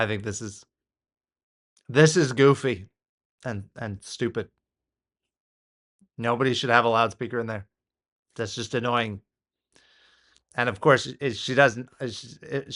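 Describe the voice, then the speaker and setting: An American man reacts off the cuff as a political commentator. His voice sits at a medium pitch, and he talks haltingly, circling back over his points with exasperation. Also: fairly bright tone.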